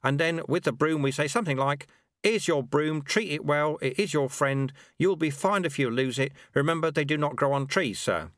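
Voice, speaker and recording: A man's voice: fairly high pitch, a bit bright, no room sound, clean studio sound, voice pushed up, lightly acted. A man doing a fawning ancient monk sweeper. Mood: eagerly servile. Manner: obsequious, fawning, brisk. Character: ancient wiry male monk sweeper, eager and sly. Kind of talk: audiobook character voice.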